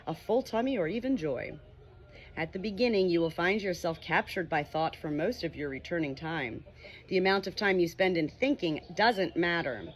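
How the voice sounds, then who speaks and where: A woman reading a meditation book aloud as scripted narration, in a measured, expressive way. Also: lowish voice, a little hiss or hum. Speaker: audiobook reader.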